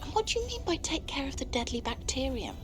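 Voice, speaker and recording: A woman playing a warm, supportive and slightly rueful scientist parent in a video game audio log, expressive and informal. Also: high voice, quick pace, over music.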